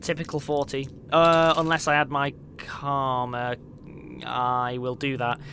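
A man's voice: mid-range voice, little pitch movement, a little slow, pushed and bright. A man chatting casually as a player in a tabletop roleplaying game. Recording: faint background noise.

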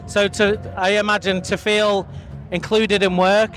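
Upbeat high-voiced male interviewer. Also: level tone, a little slow, fairly bright tone. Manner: upbeat, chatty questions with restarts. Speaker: podcast interviewer. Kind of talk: live event interview. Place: outdoor festival grounds.

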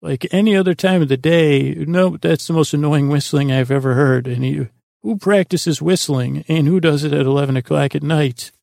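A man with a creaky, gravelly voice hosting a sleep podcast in a meandering, halting, self-deprecating monologue full of tangents. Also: a little high, a little mellow, no echo, studio quality. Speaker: podcast host.

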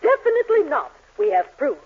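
An actress playing a bossy, quick-tempered matron in a 1940s radio sitcom, brisk and commanding. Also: female voice, high voice, wildly swooping pitch. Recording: dull, hissy 1940s radio broadcast.

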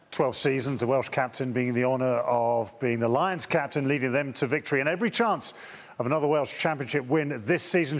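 A man with a mid-range voice reads a scripted presenter link. Long reverb trails off his words, as if he is in a large hall. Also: mid-range voice.

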